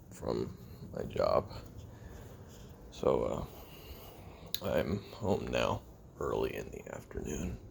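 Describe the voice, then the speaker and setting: Sardonic assassin played by a man for confessional audio roleplay: heavy gravel and fry, deadpan, halting delivery that still swings in pitch. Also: a little hiss or hum, exaggerated voice. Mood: weary, casual. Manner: halting, deadpan, dryly self-deprecating. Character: weary, sardonic male assassin recording taped memoirs.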